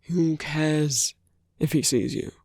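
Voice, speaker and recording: An intimate, dry-sounding villain acted by a man for an ASMR audio drama. He speaks slowly and deliberately with a gravelly creak, dropping into murmurs as he coaxes, protective and quietly possessive. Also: fairly high pitch, no room sound, full character voice. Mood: protective, coaxing. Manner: hushed, halting, deliberate, murmured asides. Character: smooth-talking male villain, protective, coaxing, quietly possessive.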